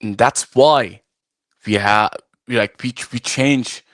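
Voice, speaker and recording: A man from Iran whose first language is Farsi, talking casually and haltingly on a video call, full of fillers and restarts. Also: medium pitch, fairly bright tone, no echo, studio quality. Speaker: guest, arm wrestler and referee. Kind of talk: casual live-stream chat. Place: at home, arm wrestling table behind.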